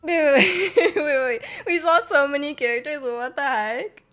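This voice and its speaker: A young adult woman live-streaming casual reactions, chatty and halting as she repeats phrases. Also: high-pitched, a bit bright.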